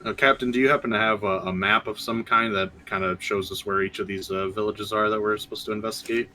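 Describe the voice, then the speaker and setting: A man playing a tabletop game who speaks politely with a lot of stammering. His voice sits low but sounds bright and sharp. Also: fairly even intonation, fast talker, a little hiss or hum. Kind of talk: casual in-character play.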